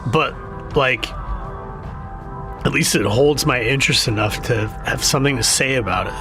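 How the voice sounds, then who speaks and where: An actor playing a jaded, guarded, grieving younger brother in an audio drama, speaking haltingly and self-deprecatingly. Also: male voice, mid-range voice, music in the background, close to an everyday voice. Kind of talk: naturalistic audio drama dialogue.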